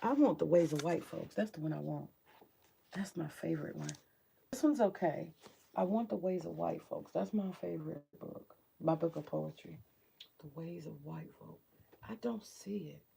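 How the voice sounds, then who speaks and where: A woman with a very low, gravelly morning voice and a dark, mellow tone talks through her book collection at home. She rambles and swears casually, muttering to herself as she looks through her shelves, over a faint hiss of background noise. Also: moderate pace. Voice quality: deep morning voice. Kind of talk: casual home vlog chat.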